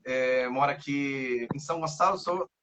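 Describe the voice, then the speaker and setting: A man's voice: medium pitch, normal speed, fairly bright tone. A man in his thirties from Rio de Janeiro with a Carioca accent, a guest guitarist chatting in a casual interview and rambling with many fillers and restarts. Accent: Carioca Brazilian Portuguese. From Rio de Janeiro, Brazil. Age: 30s. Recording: dull lo-fi audio.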